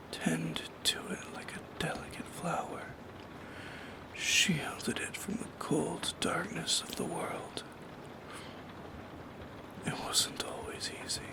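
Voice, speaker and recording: A man voicing a lonely, gently eerie shadow creature in an ASMR roleplay, in a breathy, hushed whisper with poetic, lingering phrasing. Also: fairly high pitch. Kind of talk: soft-spoken ASMR roleplay monologue.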